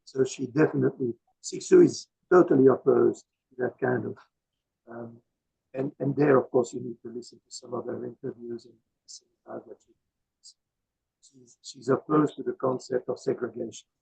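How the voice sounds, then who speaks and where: A man in his seventies with a croaky voice, a guest speaker answering audience questions thoughtfully over a video call, with many fillers. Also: medium pitch, normal speed, mellow. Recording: dull lo-fi video call audio.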